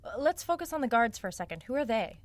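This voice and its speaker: An actress playing a sharp, sarcastic young woman who probes for answers in a naturalistic audio drama. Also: on the high side, sing-song, fast. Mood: sardonic, insistent. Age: young adult. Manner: wry, probing, sardonic.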